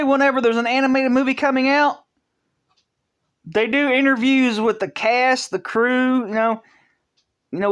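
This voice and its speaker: A vlog host giving casual opinion commentary, talking in a halting way, restarting phrases and filling pauses, in an earnest, conversational tone. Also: medium pitch, fairly bright tone. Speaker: channel host.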